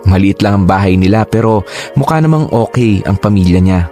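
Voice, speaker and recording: A man's voice: on the low side, lively intonation, fairly quick, dark tone, soft background music. A man in his late twenties telling his story in a confiding, candid way. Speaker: narrator. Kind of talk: scripted storytelling.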